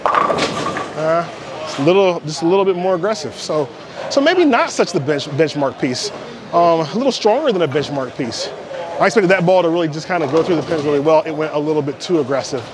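A man's voice: on the high side, lively intonation, a little fast. A middle-aged American man casually reviewing and demonstrating a product in a bowling alley, explaining in short conversational bursts. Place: large indoor bowling alley. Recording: clattering bowling alley noise. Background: bowling alley clatter and ambience.

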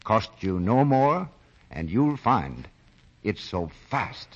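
An American man announcing and pitching for a sponsor in 1940s radio style. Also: sing-song, slow pace. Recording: muffled vintage radio broadcast.

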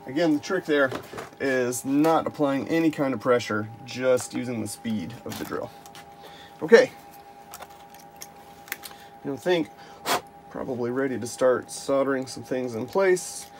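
A man's voice: mid-range voice, a little slow, pauses often, over music. A man casually narrating his hands-on DIY work in a workshop, thinking aloud as he goes. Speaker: maker narrating a DIY build. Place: indoor cluttered home workshop. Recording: noisy workshop camera recording.

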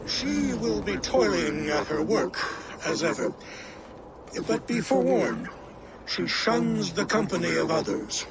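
Stately, high-pitched old giant king. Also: lively intonation, a little slow, creaky, full character voice. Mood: grave, cautioning. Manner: stately, theatrical, formal. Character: old male frost giant king, hospitable but commanding. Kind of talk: scripted video game dialogue. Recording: loud background rumble and ambience.

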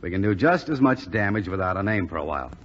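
An actor playing a steady, good-natured young American bomber pilot in a 1940s radio drama. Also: male voice, sits low, fast, husky, exaggerated voice. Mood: commanding. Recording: muffled, hissy 1940s radio recording, hall reverb.

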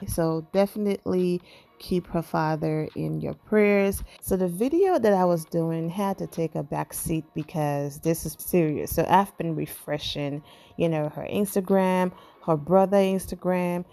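A woman with a Liberian accent runs a celebrity gossip vlog, chatting to her audience and tagging her points with a quick "okay?". Her voice sits a little low for a woman. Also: gravelly, dark tone. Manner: chatty, emphatic asides with "okay?". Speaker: entertainment news vlogger. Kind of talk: casual gossip commentary.

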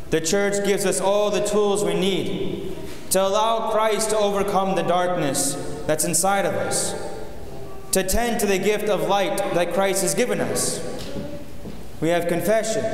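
A young man, a priest, preaching an earnest, measured sermon full of rhetorical questions, recorded from a far camera in a large church. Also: sits high, a little hiss or hum. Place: large church, in front of the icon screen. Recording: echoey church hall with long reverb.

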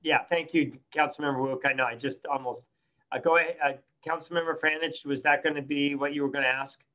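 A man chairing a city council meeting, calling on members and giving updates with frequent pauses and restarts. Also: on the high side, fairly even intonation, bright, forceful tone. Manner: halting, fills pauses with uh and restarts.

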